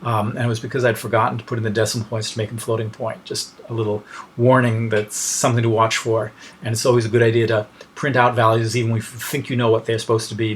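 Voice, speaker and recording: A man's voice: lowish voice, a little hiss or hum. A man giving an informal technical tutorial as a lecturer, hesitating and thinking aloud mid-sentence as he explains.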